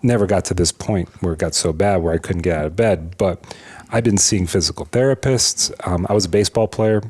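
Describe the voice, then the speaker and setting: Guy with a lowish voice, chiropractor guest on a podcast, keeps restarting and repeating words as he explains stuff. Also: brisk, a little mellow, breathy edge. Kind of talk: conversational interview, explaining.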